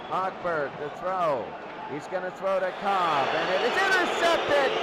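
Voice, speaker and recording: Higher-voiced man calling football, very noisy recording. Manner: talks in short clipped bursts, repeats himself. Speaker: play-by-play sports announcer. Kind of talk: live American football commentary. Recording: very noisy ambient amateur recording.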